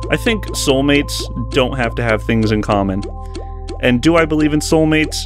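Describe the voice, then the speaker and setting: A young man talks into a desk mic in a small bedroom setup, giving loose, self-deprecating commentary over old gameplay footage. His delivery is unscripted and candid, and soft music plays faintly underneath. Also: medium pitch, moderate pace. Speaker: gaming channel host. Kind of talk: post-recorded gameplay commentary.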